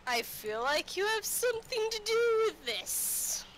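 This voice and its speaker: A woman's voice: very high-pitched, sing-song, measured pace, a bit bright, pitched above the performer's own voice, heavily acted. A woman voicing a stern, sarcastic police officer with a curt, mocking manner in a tabletop game. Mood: stern mockery. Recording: noisy game stream.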